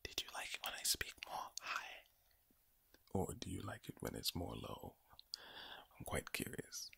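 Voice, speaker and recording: A man doing ASMR affirmations in a low, breathy, villain-like voice, speaking deliberately and soothingly with repeated words. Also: big pitch swings, sharp tone, no room sound. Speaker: ASMR host. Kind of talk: ASMR, whispered slow affirmations.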